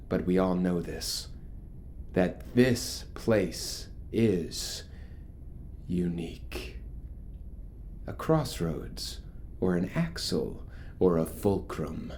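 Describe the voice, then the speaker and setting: An actor playing a charismatic, ominous cult leader in a horror audio drama, preaching grandiosely with rhetorical pauses. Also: male voice, medium pitch, a little mellow. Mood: fervent, grandiose. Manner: theatrical, grandiose, pausing for rhetorical effect.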